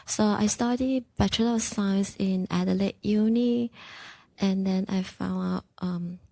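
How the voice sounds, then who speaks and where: A woman's voice: medium pitch, steady tone, measured pace, gravelly, dark tone, dry recording. A young woman from Malaysia introducing herself to a class in friendly, non-native English.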